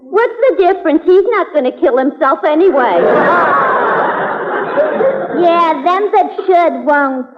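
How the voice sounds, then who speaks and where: An actress playing a gossipy, sardonic switchboard operator in 1940s radio comedy, with a deadpan wisecracking manner. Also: extremely high pitch, slow, pauses often, a loud crowd, heavy background noise, exaggerated voice. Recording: muffled old radio broadcast, loud audience.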